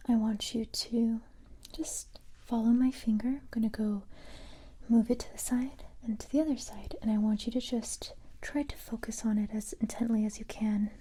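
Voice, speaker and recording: A woman whispering softly and breathily in an ASMR roleplay as a gentle but tough special-forces recruiter, speaking in a halting, reassuring way. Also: a little high, breathy edge.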